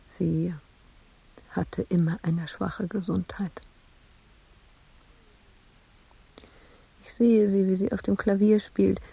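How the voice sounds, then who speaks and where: An actress in a radio drama playing a melancholy woman sculptor who recalls her past in hushed, halting, intimate phrases. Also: on the low side, vocal fry, slightly rough, full character voice, poor audio. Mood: wistful.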